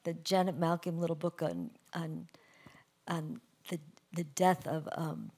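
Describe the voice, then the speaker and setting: A woman moderating a conversational literary discussion, halting and rephrasing as she goes. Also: sits low, steady tone, darkish tone.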